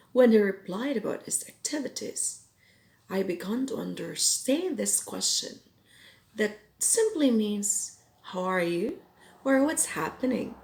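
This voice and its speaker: A Filipino woman whose first language is Cebuano, teaching English expressions in a careful, explaining lesson. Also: medium pitch. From Philippines. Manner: slow, careful explaining with quoted example phrases. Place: home in Ireland.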